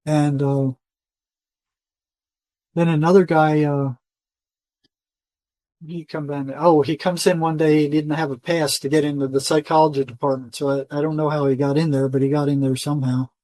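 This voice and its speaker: A man's voice: fairly high pitch, little pitch movement, moderate pace, long pauses, mellow, no echo, low-quality recording. An older man talking casually as an interview guest, telling rambling stories in colloquial words and voicing quoted dialogue. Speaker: interview guest, retired psychotherapist. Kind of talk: conversational storytelling, casual interview.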